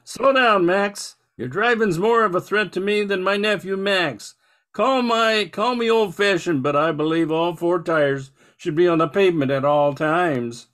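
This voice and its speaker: A man's voice: high voice, a little slow, fairly bright tone. A man playing a stuffy, fussy, indignant old-fashioned wealthy uncle in an old-time radio drama. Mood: alarmed, scolding.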